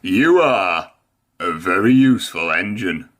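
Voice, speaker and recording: A man's voice: sits low, animated, unhurried, fairly bright tone, pitched below the performer's own voice. A man voicing an authoritative, approving railway boss in a children's storybook. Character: male railway boss, authoritative, giving praise and jobs.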